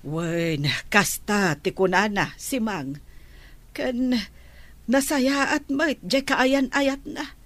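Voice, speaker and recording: A woman acting a calm villager who passes on family news in an Ilocano-accented radio drama, in a measured, explanatory way. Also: a little high, no room sound. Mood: matter-of-fact.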